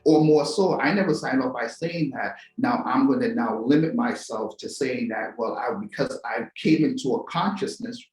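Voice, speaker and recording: A man's voice: medium pitch, moderate pace, hardly any pauses, a little mellow, reverberant, faint music behind, rough recording. A man from Trinidad and Tobago hosts a talk show, musing to viewers with rhetorical questions and repeated words. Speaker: podcast host. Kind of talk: philosophical monologue to viewers.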